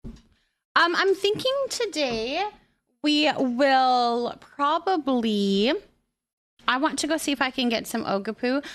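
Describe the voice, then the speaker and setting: A woman hosting a casual livestream, chatting with viewers in a gentle, affectionate way and often repeating her phrases softly. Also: on the high side. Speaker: livestream host. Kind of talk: casual livestream chat, thanking viewers and reading their messages aloud.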